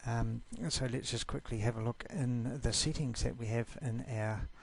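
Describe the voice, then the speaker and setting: Gravelly-voiced New Zealand man presenting. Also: mid-range voice, steady tone, normal speed. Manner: easygoing, informal, down-to-earth. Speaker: presenter of a software class. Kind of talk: casual screencast walkthrough.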